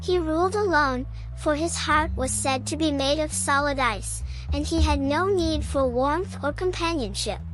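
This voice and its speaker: A woman's voice: high-pitched, unhurried, few pauses, low-quality recording. A woman reading a scripted children's bedtime story as a storyteller. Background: music.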